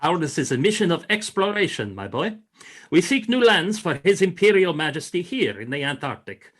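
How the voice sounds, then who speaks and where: Man playing a courtly high-voiced imperial naval commander. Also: very animated, normal speed, a bit bright. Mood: earnest, puzzled. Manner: formal, gracious, grandiose. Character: courtly, formal Russian imperial frigate commander. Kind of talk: radio play acting, 1940s style.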